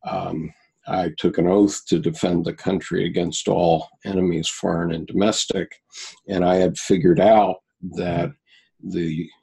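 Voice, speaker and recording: A man in his seventies with a very low, gravelly voice who speaks slowly. He talks haltingly and casually, restarting sentences and filling gaps as he recalls things. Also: dark tone. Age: 70s. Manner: halting, with many fillers and restarts. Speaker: guest. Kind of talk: casual conversation, recounting personal experiences.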